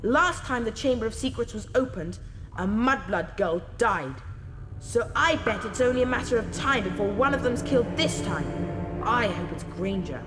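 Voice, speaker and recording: Snobbish British schoolboy bully voiced by a woman, high-pitched, scornful, game cutscene with long reverb and clear music. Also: expressive, moderate pace, lightly acted. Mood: impatient, malicious. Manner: haughty, scornful. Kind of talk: video game cutscene acting. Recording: echoing hall, music behind.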